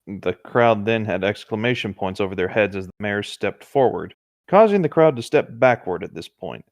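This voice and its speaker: Man, fairly low voice, horror story reader, co-host, casual asides, very dry sound. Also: expressive, normal speed, dry recording. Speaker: co-host reading video game creepypastas.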